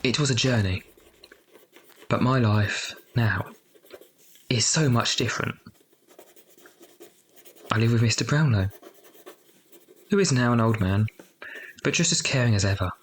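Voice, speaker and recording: A man playing a grown man recounting his youth as an audio drama narrator, measured and reflective. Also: medium pitch, a little hiss or hum, lightly acted. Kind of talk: dramatised first-person narration. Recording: faint music behind.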